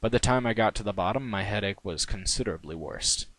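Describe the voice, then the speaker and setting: Low-voiced man reading a story, dry sound. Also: quick pace, fairly bright tone, no room sound. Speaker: reader of own fan fiction. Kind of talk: first-person story narration.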